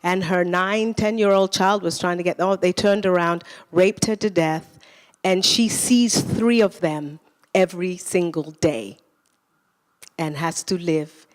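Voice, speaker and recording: A woman's voice: on the low side, fairly even intonation, a little slow, big-room sound. A woman cast member speaking earnestly at a post-show talkback on a theater stage, searching for her words as she goes. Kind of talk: post-show panel talk.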